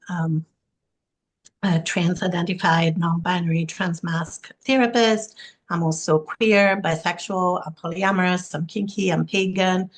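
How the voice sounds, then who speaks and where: A non-binary therapist from Italy, with Italian as a first language, being interviewed as a guest expert. They speak warmly and earnestly over a video call, often listing things in long runs. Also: mid-range voice. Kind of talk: interview, self-introduction.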